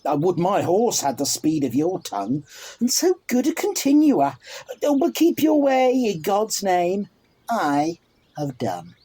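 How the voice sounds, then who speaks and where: Cocky wry soldier bachelor, husky mellow voice, shakespeare radio play banter. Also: male voice, high-pitched, huge pitch swings, measured pace, full character voice. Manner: wry, theatrical, mock-serious.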